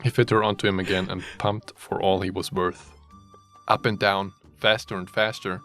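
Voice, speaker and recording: A man's voice: deep voice, flat delivery, normal speed, a bit bright, faint music behind. A man reading a fanfiction story aloud as a dramatic narrator, mock-serious and often amused, with joking asides. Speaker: story reader.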